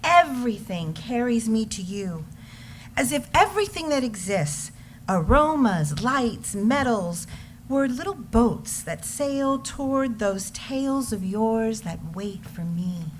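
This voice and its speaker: Slow, expressive woman reciting poetry, higher voice. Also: slight background noise, rough recording. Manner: slow, expressive, lingering phrasing. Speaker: reader in an amateur readers theater.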